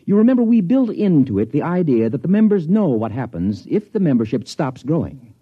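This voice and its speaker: An actor playing an unflappable, absent-minded scientist with a husky, musing delivery in a 1950s radio drama. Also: male voice, a little high, very animated, brisk, no room sound. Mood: calmly confident. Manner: mild, hesitant, musing, professorial. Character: unflappable absent-minded male scientist, smugly detached doctor. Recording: dull, dry vintage radio recording.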